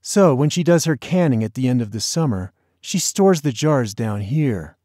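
Storybook role: mischievous bossy boy, played by a man, raised lively pitch, taunting delivery. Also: normal speed, no room sound, studio quality, natural voice. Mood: teasing. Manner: mock-dramatic, taunting. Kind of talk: audiobook character dialogue.

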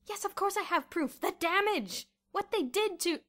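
A woman's voice: medium pitch, little pitch movement, moderate pace, fairly bright tone, natural voice. A woman voicing a stern, middle-aged male headmaster, indignant and emphatic, in a storybook narration. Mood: outraged.

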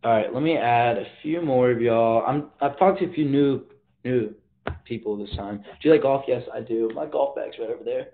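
A man's voice: mid-range voice, normal speed, a little hiss or hum. Teen boy chatting on his phone livestream, laid-back slang, tinny phone-line sound. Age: teens. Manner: slangy, laid-back, reads viewer comments aloud. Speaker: livestream host. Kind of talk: casual livestream chat. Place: bedroom, indoors. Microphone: phone at arm's length.

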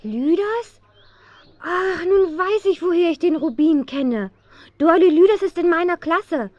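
An actress playing a bold, eager, quick-witted teenage girl detective in a German children's radio play. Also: very high voice, expressive, darkish tone, dry recording. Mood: eager, excited. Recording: dull, dry 1970s audio drama recording.

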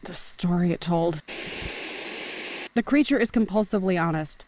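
A woman's voice: lowish voice, normal speed, a little mellow, a little hiss or hum, lightly acted. A woman playing a businesslike linguistics officer dictating a formal log in an audio drama. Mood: clinical. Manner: clinical, formal. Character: businesslike female linguistics officer logging a report.

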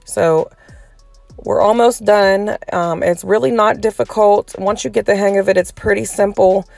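A woman giving a casual step-by-step tutorial, sounding a little raspy and hoarse from a cold. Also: mid-range voice, steady tone, measured pace, a little mellow, soft background music. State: getting over a cold, slightly hoarse. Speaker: tutorial host.

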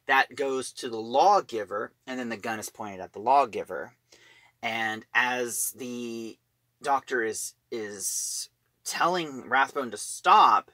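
Slow-paced, bright-voiced man reviewing. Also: medium pitch, no room sound. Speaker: audio drama reviewer. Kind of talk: casual spoken plot review.

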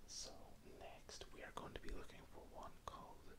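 A man with a Northern Irish accent whispering close to the mic, rambling unhurriedly in a self-deprecating way as he sorts through toy figures. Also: moderate pace. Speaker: ASMR creator. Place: indoors at a table. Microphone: close mic with wind pops.

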